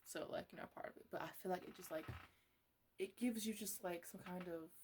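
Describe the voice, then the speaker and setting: A woman playing a chatty, easily exasperated botanist who mothers her plants in an improvised ASMR roleplay, talking in a halting, casual, playfully scolding ramble. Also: on the low side, a little mellow, a little hiss or hum, natural voice. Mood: musing, halting.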